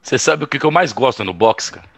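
Man playing male political aide, mid-pitched, wry, amused, casual, audio drama. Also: lively intonation, fast, creaky, fairly bright tone, faint music behind. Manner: casual, chuckling, ironic.

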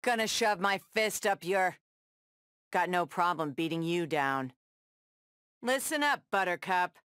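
An actress playing a tough, sardonic superheroine brawler in a video game, tossing out sassy, taunting one-liners. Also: female voice, lowish voice, lively intonation, measured pace, fairly bright tone, clean tone, no room sound, studio quality. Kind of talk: video game fight banter.

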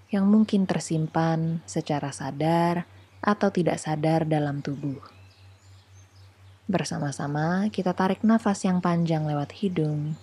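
A woman leading a guided meditation in a soothing, unhurried manner, leaving gentle pauses between phrases. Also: low-pitched, breathy edge. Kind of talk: guided meditation, calm slow instruction.

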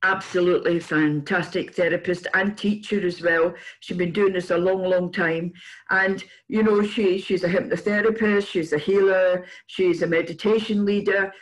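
An older woman hosting a casual video-call interview, with a halting delivery that repeats words as she goes. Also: lowish voice, fairly even intonation, low-quality recording. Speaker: interview host. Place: indoors in a room at home, on a video call. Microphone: computer microphone on a video call.

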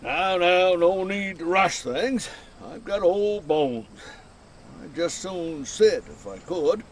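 An actor playing a wary, folksy old lighthouse keeper in an audio drama, gruff and drawling. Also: male voice, high voice, slow pace, a crowd in the background. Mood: folksy, relaxed. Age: older.

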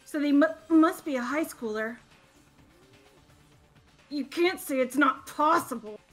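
Woman as a high-pitched stammering nervous girl. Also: unhurried, fairly bright tone, soft background music. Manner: stammering, anxious. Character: nervous, gloomy bookish teenage girl. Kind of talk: amateur visual novel voice dub.

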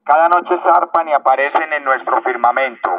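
A man's voice: on the high side, moderate pace, phone-quality sound. A man reciting poetry as a reader at a public event in an outdoor park. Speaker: poet reading in public. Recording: thin, band-limited megaphone sound outdoors.

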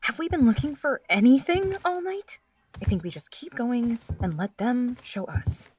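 A woman's voice: fairly high pitch, very animated, normal speed, a little mellow, recorded from a distance, a little hiss or hum. An actress playing a sassy teenage student who teases her friends in a dry way. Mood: teasing. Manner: dry, teasing. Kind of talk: audio drama acting.

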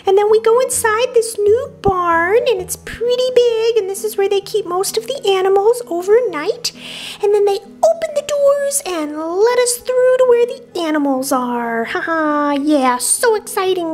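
A woman voicing a cute, excitable little lamb girl plush toy who narrates a travel vlog in a chirpy, childlike, giggly way. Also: very high voice, unhurried. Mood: sweet, excited. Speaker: vlog host.

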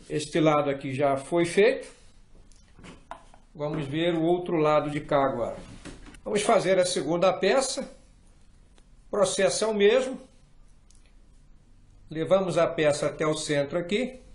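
A man's voice: on the high side, animated, measured pace, pauses often, far from the mic. A middle-aged man from Brazil teaching fly rod building in a patient, methodical way, often checking in with the viewer as he works. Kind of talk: hands-on workshop tutorial. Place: small indoor workshop room. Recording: echoey room, voice off-mic.

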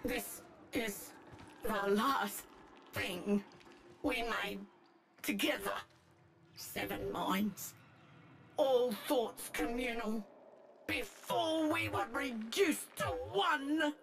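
A woman playing a haunted, grieving yet defiant young survivor in a video game, with halting, dramatic phrasing. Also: a little high, slight background noise, exaggerated voice. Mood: haunted grief. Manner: halting, fragmented, dramatic delivery. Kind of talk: scripted video game acting. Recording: loud game music behind.

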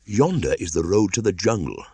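A man's voice: deep voice, very animated, normal speed, mellow, breathy edge, no room sound, voice pushed up, full character voice. A man voicing a stern jungle-raised boy. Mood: commanding. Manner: stern, archaic speech. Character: young male jungle-raised boy, fierce and commanding.

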